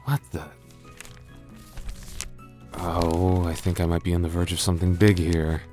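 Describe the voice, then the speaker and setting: A man playing an obsessive, sleepless conspiracy investigator in a comic outro skit as channel host, halting and excitable. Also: deep voice, faint music behind. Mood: mock-urgent. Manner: excitable, halting, mock-urgent. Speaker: roleplay audio channel host. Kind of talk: comedic outro skit to listeners.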